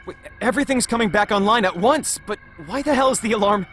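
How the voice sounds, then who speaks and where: A man's voice: high-pitched, fairly bright tone, faint music behind. An actor playing a flustered young male scientist in a visual novel, stammering and desperate. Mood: anxious. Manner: stammering, halting.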